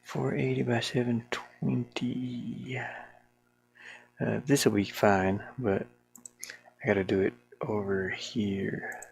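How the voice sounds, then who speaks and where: A solo game developer thinking aloud in a casual, unscripted way, with a creaky voice and colloquial, mildly grumbling speech. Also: mid-range voice, little pitch movement, takes it slowly, a little mellow, no room sound. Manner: muttering to self, grumbling mildly, colloquial 'ain't' and double negatives. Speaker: solo game developer narrating their work. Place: small warm room with a fan, indoors.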